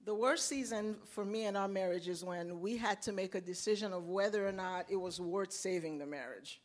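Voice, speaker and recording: A woman's voice: medium pitch, moderate pace. Earnest woman from Haiti giving testimony. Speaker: ministry leader sharing testimony.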